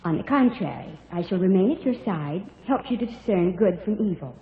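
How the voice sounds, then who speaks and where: A woman's voice: fairly low pitch, expressive, brisk, a little hiss or hum. A woman playing a prim, righteous angel in an old radio play, speaking in haughty, formal, preachy diction. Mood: solemn, preachy. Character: prim, righteous female angel stepped out of a painting. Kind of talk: 1940s radio acting. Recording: muffled old radio recording, echoey hall.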